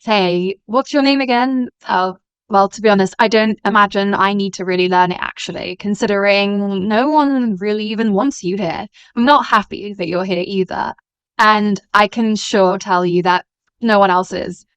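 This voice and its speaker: Haughty school figure acted by a woman: crisp, mid-pitched, very dry recording, scripted delivery. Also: moderate pace, no echo, close to an everyday voice. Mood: scornful. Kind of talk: scripted drama acting.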